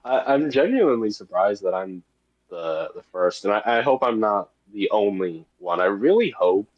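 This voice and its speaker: A man's voice: fairly low pitch, animated, moderate pace. A man being interviewed as the creator of a web series, chatting casually and enthusiastically with some self-deprecation over a muffled connection. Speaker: guest, creator of an analog horror web series.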